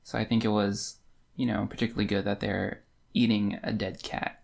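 Creaky-voiced woman in casual chat. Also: very deep voice, level tone, moderate pace, a little mellow. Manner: informal, conversational. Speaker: podcast co-host. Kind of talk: casual book discussion chat.

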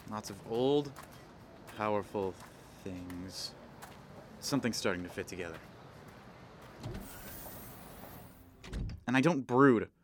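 A man playing a brooding kobold detective in a noir audio drama, wry and terse, with dramatic pauses. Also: low-pitched, slight background noise. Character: brooding male kobold detective, hard-boiled noir sleuth.

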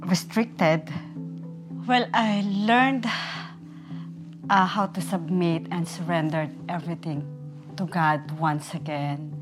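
Soft-spoken woman over music. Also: fairly low pitch. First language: Tagalog. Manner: halting, soft-spoken, earnest. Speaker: care worker sharing a testimony. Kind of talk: recorded personal testimony.

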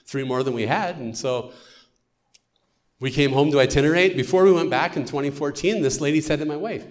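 A man's voice: fairly high pitch, fairly quick. A middle-aged American man, a missionary, giving an earnest storytelling testimony in church. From United States.

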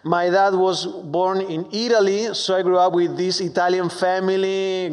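A man from Argentina with a strong Argentine Spanish accent, preaching a sermon with warm, humorous, halting storytelling full of fillers. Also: sits high, flat delivery. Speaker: pastor. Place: church sanctuary. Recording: long hall reverb.

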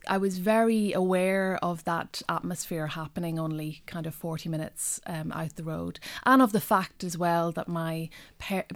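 A woman in her forties with a soft Donegal accent, a poet whose first tongue was Irish. She talks in a measured, reflective way, often pausing with "um" and "you know". Her voice sits fairly low and sounds dry and close to the microphone. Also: no echo. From North West Donegal, Ireland. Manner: thoughtful, measured, many pauses with um and you know. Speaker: poet, guest. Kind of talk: poetry reading and interview answers.

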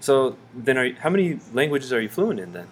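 A man's voice: medium pitch, sing-song, brisk. A male interviewer keeps things casual with short questions and brief encouraging replies. He mostly listens and lets his guest talk.

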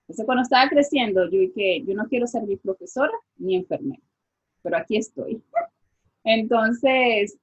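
A woman from Venezuela with a Venezuelan accent, a guest scientist talking casually in an interview and pausing with fillers. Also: a little high, very animated, breathy edge, lo-fi sound. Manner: reflective, with many fillers like "este" and "o sea".

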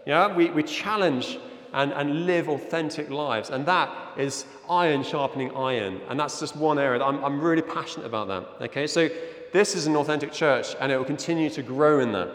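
In a reverberant, echoey hall, a middle-aged man preaches in a fairly high voice. He is from Zimbabwe and speaks as an earnest, conversational church leader, regularly checking that his listeners follow. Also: brisk, fairly bright tone. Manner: earnest, conversational, often seeking agreement with "yeah?". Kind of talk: informal sermon with slides.

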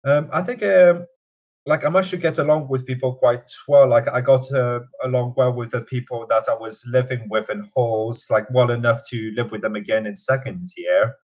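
A man's voice: medium pitch, fairly even intonation, normal speed, darkish tone. Young guy, canadian-british mix accent, lots of ums and likes, kinda muffled lo-fi sound. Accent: mixed Canadian and British. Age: 20s. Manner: hesitant, many fillers. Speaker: interviewee. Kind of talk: casual interview. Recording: dull lo-fi sound.

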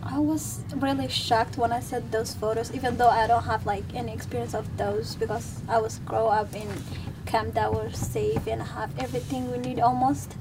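Teen girl from ethiopia, highish flat voice, earnest, far from mic w noise. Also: normal speed. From Ethiopia, Somali parents. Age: teens. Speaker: student guest. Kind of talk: earnest personal reflection. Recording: distant mic, noisy background.

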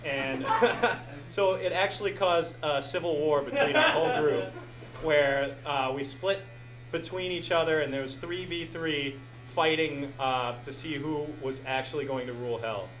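A man's voice: a little high, measured pace, a bit bright, reverberant, slight background noise, rough recording. A young man hosting a podcast, answering audience questions in a casual, rambling, self-deprecating way with frequent laughs. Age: young adult. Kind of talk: casual live Q&A.

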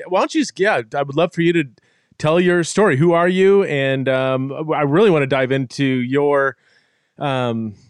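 A man's voice: on the high side, dry recording, clean studio sound. A man hosting a podcast in a casual, informal and enthusiastic way, with stammering restarts. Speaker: podcast host. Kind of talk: casual interview chat.

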